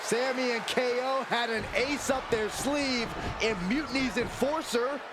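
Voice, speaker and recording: A man's voice: very high voice, fairly even intonation, unhurried. A man doing enthusiastic, dramatic colour commentary on wrestling in a video game. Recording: loud crowd and arena noise.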